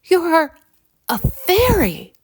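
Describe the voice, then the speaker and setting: A woman voicing an expressive young city girl in a children's bedtime story. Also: extremely high pitch, very slow, voice pushed up, exaggerated voice. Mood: amazed. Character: young city girl, sulky then full of wonder.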